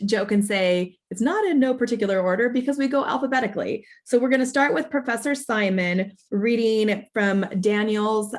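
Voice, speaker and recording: A woman professor presenting at an online awards ceremony, speaking informally in an earnest, explanatory way. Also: mid-range voice. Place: online video call.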